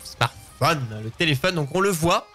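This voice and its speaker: A man's voice: on the high side, animated, moderate pace, sharp tone, faint music behind. A man hosting a live show in a chatty, informal, joking way. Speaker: show host. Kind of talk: casual live show presenting.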